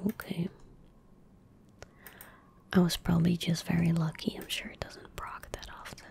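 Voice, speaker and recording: A young woman gaming streamer in soft-spoken ASMR style, murmuring to herself in a breathy whisper at a close microphone. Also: fairly low pitch. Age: young adult. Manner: unhurried, murmuring to herself as she plays. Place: indoor room at a gaming setup. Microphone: close desk microphone.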